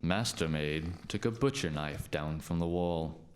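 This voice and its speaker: A man narrating a scripted radio play tale as an expressive, dramatic storyteller. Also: sits low, unhurried, slight background noise.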